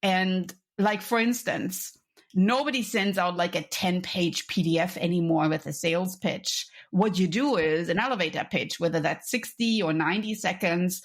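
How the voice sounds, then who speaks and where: A middle-aged woman from Europe, a business coach speaking as a podcast guest in an enthusiastic, chatty way with frequent restarts. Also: fairly low pitch. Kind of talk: conversational podcast interview.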